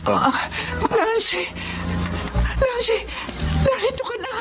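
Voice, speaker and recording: A woman's voice: extremely high pitch, slow pace, over music. A woman playing an anxious, long-suffering loving mother in emotional Filipino drama style. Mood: weary, emotional. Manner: emotional, fretful. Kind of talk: Filipino radio drama acting.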